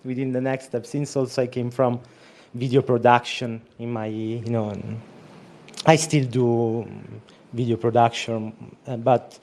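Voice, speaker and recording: A man talks through his project in a relaxed online chat. His voice is mellow and dark, and his pitch stays even and flat as he explains at length. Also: a little slow. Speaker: project organizer. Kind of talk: casual chat. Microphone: remote call audio.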